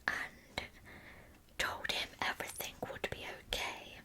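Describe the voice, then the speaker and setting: Woman doing asmr true crime, super close whisper, crisp and slow. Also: sharp tone, low-quality recording. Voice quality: breathy, unvoiced whisper. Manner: slow, deliberate storytelling. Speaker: ASMR narrator. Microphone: close microphone.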